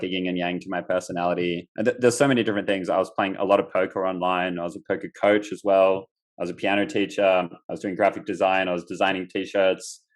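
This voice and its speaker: A young man from Australia, a guest on an interview podcast, telling his story casually with lots of fillers and restarts. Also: deep voice. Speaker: guest entrepreneur.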